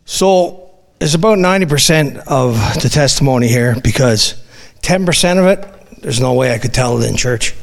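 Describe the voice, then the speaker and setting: A man's voice: mid-range voice, lively intonation, normal speed, gravelly, big-room sound. A middle-aged man from Newfoundland with a Newfoundland accent, earnestly reading his personal testimony aloud to a church congregation with small restarts. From Newfoundland, Canada. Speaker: guest giving a church testimony. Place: church hall.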